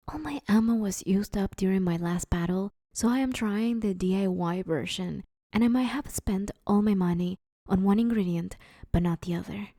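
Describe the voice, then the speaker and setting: Mellow, awkward villain woman played by an actress. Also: fairly low pitch, no room sound, studio quality. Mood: sheepish, evasive. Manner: awkward, wheedling, hesitant conversational delivery. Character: broke, sheepish but scheming villain woman begging her neighbor. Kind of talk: roleplay audio drama, one-sided conversational comedy.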